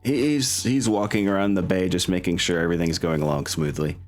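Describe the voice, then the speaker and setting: A man's voice: deep voice, steady tone, brisk, soft background music. A man speaking informally and with swearing as the game master of a tabletop role-playing session. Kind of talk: casual tabletop game narration.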